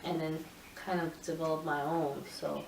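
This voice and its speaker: A middle-aged woman from Puerto Rico, a photographer answering interview questions in a thoughtful, halting way with many restarts. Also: low voice, little pitch movement, creaky, slight background noise. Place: indoor room with her camera collection. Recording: roomy sound, mic at a distance.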